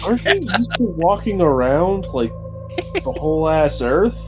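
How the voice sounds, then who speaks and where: A man's voice: fairly high pitch, big pitch swings, measured pace, over music. A man playing a tabletop game, bantering casually with sarcasm and plenty of swearing.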